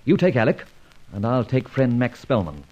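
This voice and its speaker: An actor playing a suave, debonair gentleman adventurer in an old radio serial, urbane, wordy and teasing in a mock-formal way. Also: medium pitch, big pitch swings, normal speed, highs cut off.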